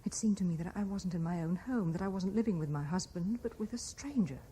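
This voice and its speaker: A woman's voice: lowish voice, fast talker, mellow, natural voice. An actress with a British accent playing a wistful, dreamy young married Frenchwoman in a 1970s radio drama. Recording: vintage radio recording with faint hiss.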